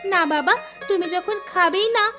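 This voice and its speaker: A woman voicing a caring, gently nagging young village daughter in a cartoon. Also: very high-pitched, measured pace, faint music behind, full character voice. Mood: fussing, caring. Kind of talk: Bengali cartoon dubbing.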